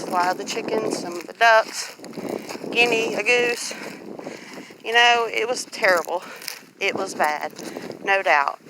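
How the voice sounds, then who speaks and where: A woman with a Southern US accent chats in a folksy way, explaining things as she walks and films a casual vlog. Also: mid-range voice. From Louisiana, US. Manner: chatty, folksy, explaining while walking. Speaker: homestead vlogger. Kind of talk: casual walk-and-talk vlog. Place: outdoors on a rural homestead. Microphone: handheld phone. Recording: faint outdoor noise, handheld phone.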